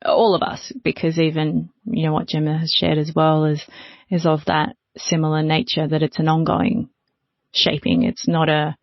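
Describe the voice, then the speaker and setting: Thoughtful woman facilitator with a creaky voice. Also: sits low, monotone. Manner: thoughtful, pausing, paraphrases the speakers. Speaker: discussion facilitator. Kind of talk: reflective, unscripted facilitation. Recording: dull, slightly roomy audio.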